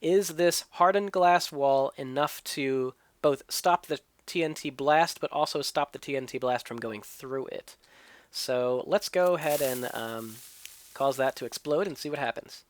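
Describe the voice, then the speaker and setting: A man's voice: mid-range voice, measured pace, slight background noise. A man hosting a let's play, explaining what he builds and tests as he goes, his voice a little stuffy from a cold. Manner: explanatory, thinking aloud. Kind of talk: gameplay commentary and tutorial.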